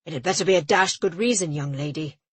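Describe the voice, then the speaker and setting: A self-important English port official, clipped and fussy, barking his complaints, as a woman puts him on for a storybook. His voice pushes loud and indignant, with a husky rasp to the tone. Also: fairly high pitch, a little slow, no room sound, pitched below the performer's own voice, exaggerated voice. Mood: blustering, indignant. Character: pompous, blustering older male port official.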